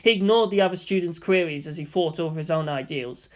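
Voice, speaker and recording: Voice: mid-range voice, fast talker. A narrator giving a scripted, dramatic reading of fan fiction.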